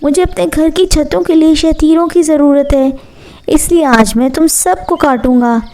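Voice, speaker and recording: A woman's voice: high voice, steady tone, a little slow, dark tone, voice pushed up. A woman voicing a self-serving village man in a children's bedtime story. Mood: determined.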